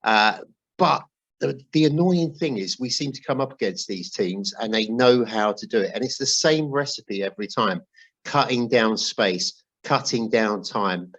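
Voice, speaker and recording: A man's voice: mid-range voice, measured pace, fairly bright tone, rough recording. A man hosting a casual football discussion, talking conversationally and putting questions to his co-hosts. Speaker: podcast host.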